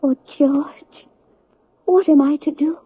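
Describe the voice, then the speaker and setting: A woman's voice: high-pitched, fairly even intonation, slow. A woman playing a fragile, anxious young bride-to-be in a 1970s radio drama, pleading and hesitant. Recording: muffled phone-like old radio broadcast.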